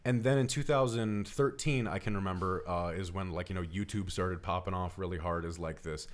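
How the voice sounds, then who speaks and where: Earnest low-voiced man telling a story. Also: brisk. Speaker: podcast co-host. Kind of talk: casual first-person storytelling.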